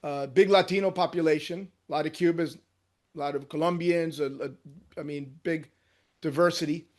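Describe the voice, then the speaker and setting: A man in his sixties, born in Cuba and raised in New Jersey, telling his life story as a guest surgeon in a casual interview, lively and often trailing off into quiet asides. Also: a little high, moderate pace. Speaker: guest, orthopedic hand surgeon.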